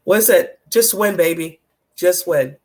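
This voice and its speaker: A co-host in a casual sports chat with a hoarse, nearly gone voice, giving blunt, emphatic opinions. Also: medium pitch, measured pace.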